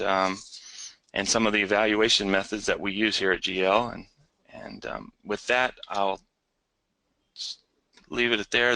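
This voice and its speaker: Male webinar presenter, lowish bright voice, dry close sound, halting unscripted technical delivery. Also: dry recording, rough recording. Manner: hesitant, halting delivery with repeated words.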